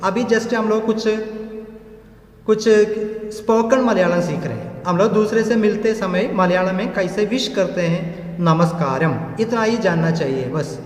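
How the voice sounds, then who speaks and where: Slow-talking Malayali man teaching, echoey room. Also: sits high. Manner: patient, repeats with tag questions. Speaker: language teacher. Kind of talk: online language lesson.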